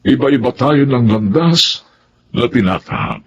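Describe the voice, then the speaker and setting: Raspy, gravelly older father. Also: mid-range voice, expressive, measured pace, lo-fi sound. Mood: fatherly. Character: fatherly older widowed businessman, gentle with his daughter. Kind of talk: Filipino radio drama acting.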